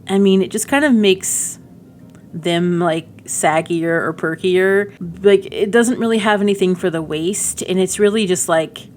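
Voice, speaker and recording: Chatty woman, slightly low voice, noisy background. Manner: informal, chatty, thinking aloud. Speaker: gaming video creator. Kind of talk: casual game demo commentary.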